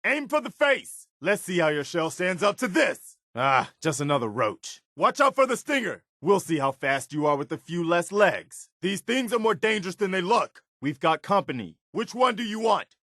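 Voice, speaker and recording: A man's voice: high voice, very animated, moderate pace, hardly any pauses, fairly bright tone, no room sound, exaggerated voice. A principled, battle-weary militia soldier from a video game. He is earnest and direct, with clipped military phrasing and a loyal streak. The sound is very dry, with no room around the voice. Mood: alert, urgent battle shouts. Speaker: video game companion character. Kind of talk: scripted game dialogue and combat barks.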